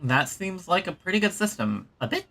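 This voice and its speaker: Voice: mid-range voice, very animated, moderate pace, fairly bright tone. A gaming streamer giving casual let's-play commentary with informal, reactive asides. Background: game music.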